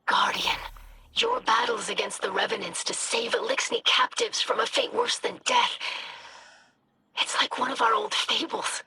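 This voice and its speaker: A woman's voice: fairly high pitch, big pitch swings, normal speed, sharp tone, a little hiss or hum, exaggerated voice. A woman voicing an earnest, kind, hopeful young female alien scholar in a video game. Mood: wistful, persuasive.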